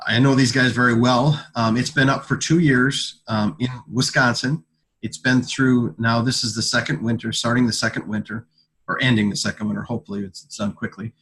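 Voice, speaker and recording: A man with a mid-range voice presents products in an informal sales talk. His delivery is halting, and he often repeats a word or phrase before carrying on. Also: mid-range voice, fairly even intonation, a bit bright, low-quality recording. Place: conference call.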